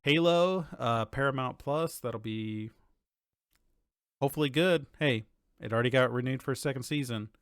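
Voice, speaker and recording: A man's voice: mid-range voice, moderate pace, fairly bright tone, clean tone, no echo. Casual man with a dry, close sound. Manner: informal, blunt, swearing. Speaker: podcast co-host. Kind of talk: casual chat about games and shows.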